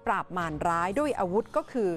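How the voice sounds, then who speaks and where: Very fast-talking Thai woman presenter. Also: faint music behind. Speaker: TV presenter and interviewer. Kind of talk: scripted TV presenting.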